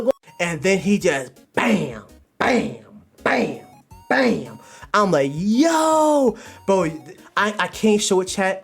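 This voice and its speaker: A male streamer doing a casual, slangy livestream review, exclaiming in an animated way and repeating his phrases over and over. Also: a little high. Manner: slangy, informal talk.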